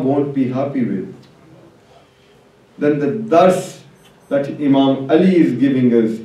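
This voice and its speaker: A man preaching a religious sermon to a congregation in an emphatic, deliberate way, repeating key phrases. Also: fairly high pitch, a little mellow. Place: large echoing religious hall. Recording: very echoey hall, distant mic.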